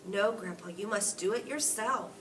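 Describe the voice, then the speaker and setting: A woman's voice: mid-range voice, moderate pace, fairly bright tone, distant mic, a little hiss or hum, close to an everyday voice. Woman reading as an earnest young boy. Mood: eager. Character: earnest, eager young boy, devoted grandson.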